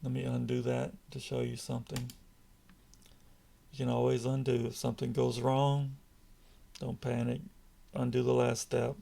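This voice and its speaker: A man with a raspy voice, calmly walking through a software tutorial over a screen recording. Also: mid-range voice. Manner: slow, measured, patient explaining. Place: indoors at a computer, voice-over on screen capture.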